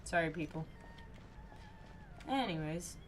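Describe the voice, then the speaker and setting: Low-voiced, slow-talking woman streamer. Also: lively intonation. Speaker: game streamer. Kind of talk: casual live game stream commentary. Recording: game music behind the voice. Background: game music.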